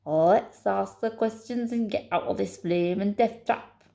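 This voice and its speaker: A woman's voice: mid-range voice, measured pace, creaky, warm tone. Woman doing a feisty little Scottish spoon warrior. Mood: snappy. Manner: snappy, combative. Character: small gruff feisty male spoon warrior with a sword.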